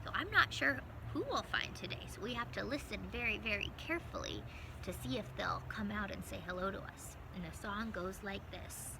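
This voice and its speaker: A woman hosting a story time for young children, talking in an animated, sing-song, gently coaxing way. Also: medium pitch, fairly quick, gravelly, noisy background.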